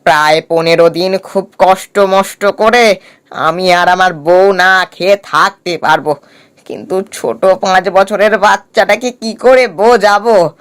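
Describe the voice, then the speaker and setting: A man voicing a poor male day-labourer in a cartoon story, speaking slowly and humbly. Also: high voice, a bit bright, clear, resonant tone. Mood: pleading. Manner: pleading, slow.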